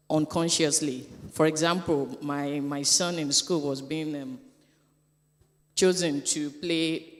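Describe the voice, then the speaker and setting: Animated mother telling a story in a hall. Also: low voice. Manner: lively storytelling, acts out dialogue. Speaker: panel speaker, parent. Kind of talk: anecdotal panel answer. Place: church hall event. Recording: reverberant church hall.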